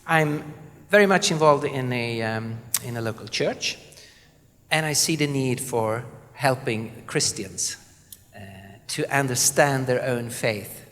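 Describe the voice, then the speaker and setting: A man from southern Sweden with a Swedish accent, introducing himself on a panel in an earnest, halting way with many fillers. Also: mid-range voice, a bit bright. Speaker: panelist, apologetics speaker. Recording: reverberant hall.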